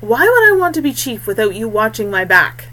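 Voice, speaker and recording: A woman's voice: on the high side, sing-song, a little fast, pushed and bright, heavily acted. A woman voicing a loyal, stubborn young male warrior in a fantasy story, speaking earnestly and emphatically. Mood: insistent.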